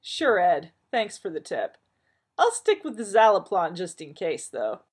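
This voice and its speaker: A woman's voice: lowish voice, huge pitch swings, a little slow, breathy edge, heavily acted. A woman voicing a neurotic, irritable male doctor who complains in an exasperated, whiny way. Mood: wry.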